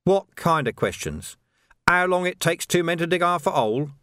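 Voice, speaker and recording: A narrator puts on a stern middle-aged watch commander who lays down the rules in a brisk, commanding way. His pitch swings widely as he presses each point. The reading is close and dry, with no room sound. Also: animated, pushed and bright, no echo. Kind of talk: audiobook character voice.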